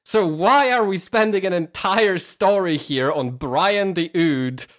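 A man co-hosting a podcast in casual discussion, with halting, deliberate phrasing. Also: high-pitched, fairly bright tone.

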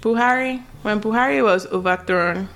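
A woman's voice: medium pitch, normal speed, heavy background noise. A woman with a Nigerian accent hosting a quiz in casual, teasing storytelling, with dramatic emphasis. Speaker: trivia quiz host.

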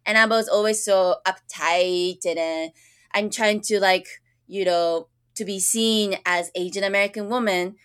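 A woman's voice: mid-range voice, steady tone, measured pace, fairly bright tone, clean tone, no room sound, clean studio sound. A young woman from Japan, a school counselor, talking earnestly on a podcast microphone with many fillers and restarts. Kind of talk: casual interview conversation.

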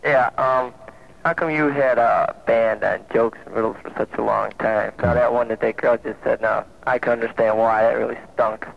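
A man calling a radio show with casual, blunt but halting questions. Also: medium pitch, fairly even intonation, gravelly. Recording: muffled phone call on old radio.

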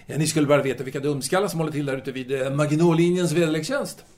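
A man's voice: on the high side, fairly even intonation, a little mellow, close to an everyday voice. An actor playing a self-absorbed, womanizing intellectual soldier on leave in an audio drama, wordy and hesitant in a pompous way. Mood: flattering.

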